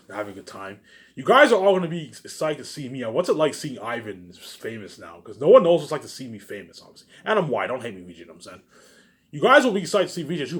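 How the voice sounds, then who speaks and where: A man in a rambling informal monologue to viewers, boastful and circling back over the same phrases. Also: mid-range voice. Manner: boastful, self-aggrandizing.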